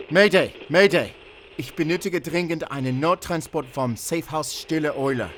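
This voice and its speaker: A man playing a weary, determined werewolf hunter in a cinematic audio roleplay, speaking pensively in short, halting phrases. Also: on the high side, background noise, close to an everyday voice. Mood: urgent, alarmed. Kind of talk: cinematic audio roleplay acting, addressing a silent companion. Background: cinematic ambient sound effects.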